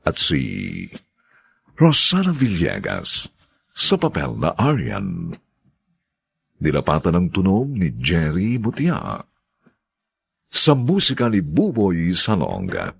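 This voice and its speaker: Man, Filipino accent, formal drama narrator, gravelly, husky, unhurried, theatrical, scripted. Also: deep voice, wildly swooping pitch, a bit bright, dry recording. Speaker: radio drama announcer and narrator. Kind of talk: dramatic scripted radio announcing.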